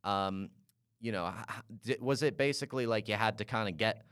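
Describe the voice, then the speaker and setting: Low smooth-voiced male interviewer. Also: fairly bright tone, no room sound, clean studio sound. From Canada. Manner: halting questions with many "uh"s and restarts. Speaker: podcast host.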